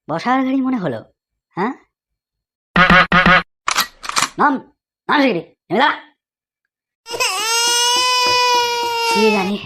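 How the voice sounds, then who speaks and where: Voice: mid-range voice, a bit bright. An actor playing a stern, bossy doctor in a comedy cartoon, scolding. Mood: haughty. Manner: slow, scolding, haughty.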